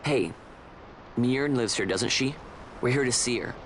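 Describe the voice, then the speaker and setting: An actor playing a bold, stubborn young adventurer in a video game, speaking in a blunt, informal, defiant way. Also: male voice, mid-range voice, unhurried, a little hiss or hum, lightly acted. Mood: direct.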